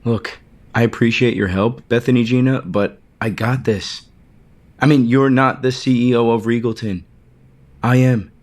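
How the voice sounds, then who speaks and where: An actor playing a defensive, insecure company chief in an audio soap opera, curt and emphatic. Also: male voice, medium pitch, steady tone, moderate pace, darkish tone, lightly acted. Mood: irritated. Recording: music bed under the dialogue.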